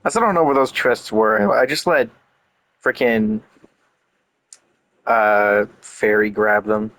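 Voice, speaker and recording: A man's voice: lowish voice, takes it slowly, a bit bright, no room sound. A man giving casual, unscripted commentary as he plays a video game, with music behind him. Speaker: gameplay streamer. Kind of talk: casual game commentary, reacting and reading aloud.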